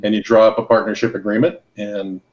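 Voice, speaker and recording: A man's voice: lowish voice, fast talker. Podcast guest, male, laid-back storyteller, slightly muffled lo-fi sound. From Los Angeles and Hawaii. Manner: relaxed, storytelling, advice-giving. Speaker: guest entrepreneur. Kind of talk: casual podcast conversation.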